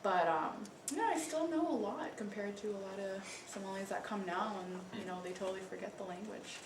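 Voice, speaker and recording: A woman's voice: mid-range voice, fairly quick. A young woman speaking casually on a conference panel, chatty with fillers like "you know". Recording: echoey room, distant mic, noisy.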